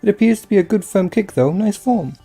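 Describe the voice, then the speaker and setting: A woman's voice: medium pitch, expressive, brisk, dark tone, breathy edge. A woman doing a comedic anime parody fan dub, crude and sweary, in casual slangy talk over music.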